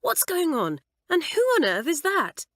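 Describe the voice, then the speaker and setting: A woman narrator voicing an excitable schoolgirl calling out in breathless excitement. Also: high voice, measured pace, no echo, clean studio sound, voice pushed up, full character voice. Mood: excited.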